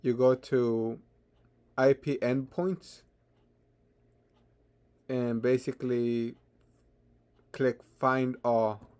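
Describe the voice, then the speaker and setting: A man presents a step-by-step software tutorial without a script. His delivery is hesitant and halting, with repeated words and stammered starts, in a mid-pitched voice. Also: steady tone, clean tone. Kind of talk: unscripted software screen tutorial.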